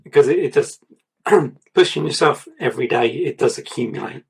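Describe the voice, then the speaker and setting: A man reviewing an artist's portfolio, talking in a rambling, repetitive way, his voice going a little croaky. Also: mid-range voice, expressive, moderate pace, a little mellow. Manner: rambling, repeats words, gives advice. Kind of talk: casual mentoring chat.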